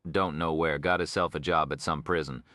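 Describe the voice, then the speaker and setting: A man's voice: a bass voice, fairly even intonation, fairly quick, sharp tone, no room sound, clean studio sound. A man voicing a retired Texan prison officer in an audiobook, an older man with a folksy, rambling drawl. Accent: Texas.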